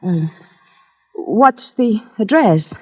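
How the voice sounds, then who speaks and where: An actress playing an uneasy female client of a detective, speaking haltingly in 1940s radio style. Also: medium pitch, lively intonation, husky, exaggerated voice. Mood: uneasy, hesitant. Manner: halting, hesitant. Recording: dull vintage radio recording.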